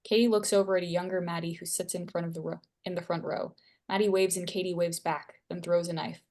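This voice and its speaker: A woman's voice: on the low side, level tone, quick pace, a little mellow. A woman reading stage directions aloud as scripted narration at a screenplay table read.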